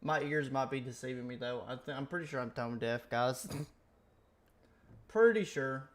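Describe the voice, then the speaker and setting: A man's voice: mid-range voice, moderate pace. A young man streaming a game, chatting casually and rambling in half-finished, self-correcting thoughts. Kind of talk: casual gaming stream chat.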